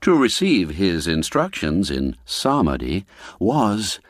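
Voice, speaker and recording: Slow, low-voiced male storyteller. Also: dry recording, clean studio sound. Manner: expressive storyteller, rising and falling with the suspense. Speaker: narrator. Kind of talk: classic radio drama narration.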